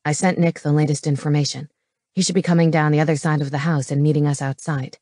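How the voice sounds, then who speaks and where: A woman acting out a gruff, intelligent man from an audiobook, pitched low. He is terse and intense, laying out facts plainly. The sound is close and dry. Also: little pitch movement, fast talker, mellow, no echo. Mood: urgent, decisive. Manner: terse, matter-of-fact. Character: gruff, brainy comic-book-fan man, terse and intense. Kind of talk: audiobook character dialogue.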